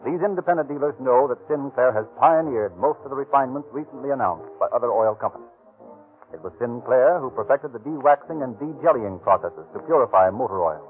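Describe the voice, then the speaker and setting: A man's voice: medium pitch, expressive, fairly quick, faint music behind. American announcer man on tinny old radio. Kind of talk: 1930s scripted radio narration and sponsor reading. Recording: tinny 1930s radio recording.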